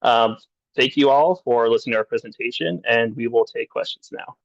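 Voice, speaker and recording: A man's voice: mid-range voice, a little fast, dry recording, dull audio. A young man in his twenties, a graduate student wrapping up a presentation and reading through lists with fillers. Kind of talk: presentation wrap-up.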